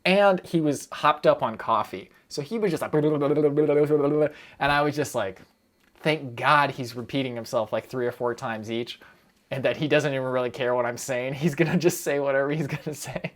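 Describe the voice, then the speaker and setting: A young man chatting casually on a live stream, rambling quickly and breaking off his own sentences as he answers viewers. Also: mid-range voice. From United States. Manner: rambling, quick, self-interrupting with fillers and laughs.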